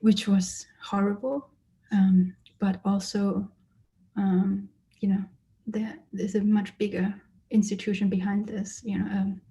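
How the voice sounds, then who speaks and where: A woman who grew up in Germany, an artist giving a lecture about her exhibition and speaking thoughtfully with frequent pauses and fillers. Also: mid-range voice, flat delivery, dark tone, poor audio. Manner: hesitant, many fillers and restarts, thoughtful. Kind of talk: lecture presentation. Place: lecture or online presentation.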